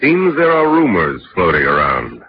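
A man's voice: low voice, huge pitch swings, moderate pace. An actor playing a bitter ex-convict chauffeur, deferential to his boss, in 1940s radio drama style. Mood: bitter, jealous. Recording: muffled, noisy vintage radio broadcast.

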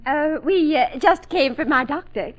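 A genteel, effusive married lady from a 1940s radio drama. Her high voice flutters and hesitates, rising and falling dramatically. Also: animated, measured pace, dull audio. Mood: relieved, effusive.

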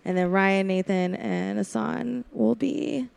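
A woman's voice: medium pitch, slow, vocal fry, a little mellow. A woman hosting a podcast, talking casually with the cast of a radio play. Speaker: podcast host. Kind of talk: casual group chat and casting announcements.

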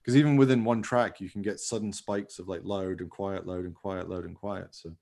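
Guy with a lowish voice, casual podcast chat, kinda rambly and keen. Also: fairly even intonation, fairly quick, gravelly, a little mellow. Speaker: podcast host and amateur radio play producer. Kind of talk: casual chat about making a radio play.

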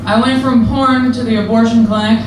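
Young woman doing deadpan crude standup, kinda high voice, far off mic in a noisy echoey bar. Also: brisk. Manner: deadpan, self-deprecating, crude. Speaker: stand-up comedian. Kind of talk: stand-up comedy set. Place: comedy club or bar stage. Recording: echoey room, distant, noisy.

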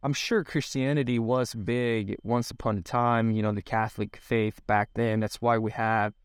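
Swedish guy in his 30s, smooth clear voice, dry close sound, lots of ums and restarts. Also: lowish voice, fairly even intonation, normal speed, no echo. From Sweden. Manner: reflective, many fillers and restarts. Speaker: guest. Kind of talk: casual podcast interview.

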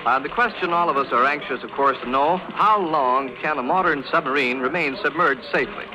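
Higher-voiced man on noisy vintage radio. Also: a little fast. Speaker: radio reporter interviewing. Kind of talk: formal 1930s radio news interview. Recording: noisy 1930s radio broadcast.

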